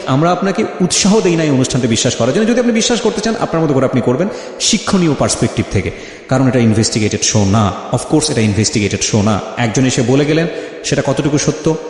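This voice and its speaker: A man's voice: mid-range voice, expressive, fast, a little mellow. A man hosting a life-story interview, speaking emphatically and repeating phrases for stress as he questions and addresses listeners. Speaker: show host and interviewer. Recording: very echoey, long reverb.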